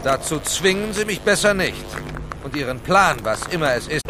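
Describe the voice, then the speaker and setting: Casting: commanding SWAT leader played by a man, high, very lively intonation, clipped orders, kids' radio play over music. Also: moderate pace, noisy background, natural voice. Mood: stern. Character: brusque, commanding male SWAT police chief. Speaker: SWAT team leader, the Corporal. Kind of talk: children's audio drama acting.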